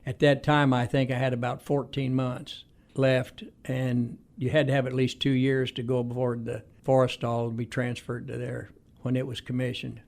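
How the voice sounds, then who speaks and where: Creaky-voiced man in his eighties. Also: medium pitch, little pitch movement, moderate pace, few pauses, darkish tone. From Indiana, United States. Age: 80s. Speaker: interview guest. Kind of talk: conversational reminiscing interview answers.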